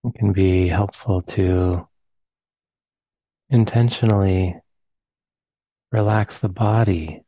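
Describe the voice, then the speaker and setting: A man with an American accent, leading a guided meditation in slow, soft phrases with long pauses. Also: low voice, no echo, lo-fi sound. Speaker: meditation teacher.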